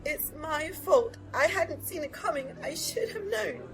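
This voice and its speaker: A woman's voice: high voice, lively intonation, unhurried, gravelly, heavily acted, low-quality recording. A woman playing a fragile, grieving and guilt-ridden character in an audio drama. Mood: guilty, tearful. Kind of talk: emotional audio drama acting. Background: music.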